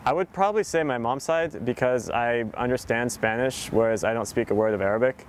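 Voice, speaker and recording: A man's voice: medium pitch, brisk, slight background noise. A young man in a documentary interview, talking casually about where he grew up, with many fillers. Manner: relaxed, chatty, many fillers.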